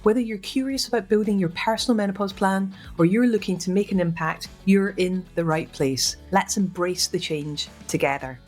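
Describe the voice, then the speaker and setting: A woman's voice: mid-range voice, normal speed, hardly any pauses, darkish tone, soft background music. A woman hosting a podcast, sounding upbeat and enthusiastic as she introduces the show and her guest. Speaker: podcast host. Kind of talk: scripted podcast intro then conversation.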